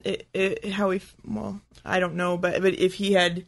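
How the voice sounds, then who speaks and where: A man chatting casually as a podcast co-host, in a halting way that repeats words. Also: high voice, flat delivery, vocal fry.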